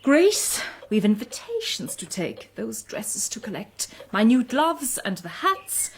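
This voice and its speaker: An actress playing a haughty, imperious middle-aged society wife in a radio period drama, formal and affronted in manner. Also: female voice, fairly high pitch, big pitch swings, slow pace, slightly rough, full character voice. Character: haughty, status-conscious society wife and mother. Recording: noisy old radio broadcast.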